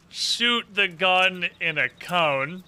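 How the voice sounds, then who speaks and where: A man's voice: high voice, bright, forceful tone, clear, resonant tone. A man giving casual live commentary as he plays a game, muttering to himself and swearing freely. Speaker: game streamer.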